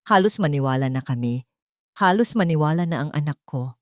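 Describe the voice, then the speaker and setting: A woman's voice: deep voice, animated, brisk, a little mellow, no echo, clean studio sound. A woman voicing a rich matriarch, condescending and sweetly spiteful, in an audio love drama. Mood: sweetly venomous.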